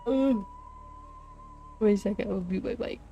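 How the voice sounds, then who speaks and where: A woman's voice: medium pitch, measured pace, mellow, soft background music. A woman streaming a visual novel with a stuffy, blocked nose, chatting casually and reading game lines aloud into a desk mic. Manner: chatty, self-deprecating, trails off mid-sentence. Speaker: VTuber streamer.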